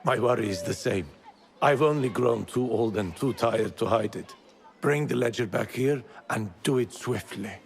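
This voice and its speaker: A man playing a weary, cautious older mentor of thieves in a video game, speaking in a measured, admonishing way. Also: mid-range voice, vocal fry, slight background noise, close to an everyday voice. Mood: stern, weary. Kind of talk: dramatic video game acting.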